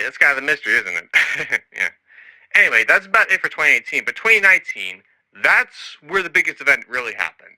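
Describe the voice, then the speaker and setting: A man's voice: medium pitch, pushed and bright, no echo, low-quality recording. A man recording an improvised audio log as a storyteller, rambling and hesitant, often trailing off and losing his thread. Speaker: storyteller and narrator.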